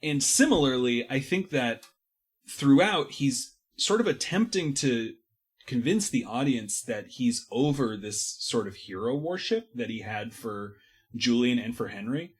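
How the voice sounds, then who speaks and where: A man's voice: medium pitch, animated, a little slow, slightly rough. A man talking casually and analytically about books as a podcast panelist, with slangy turns of phrase. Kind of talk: casual book discussion.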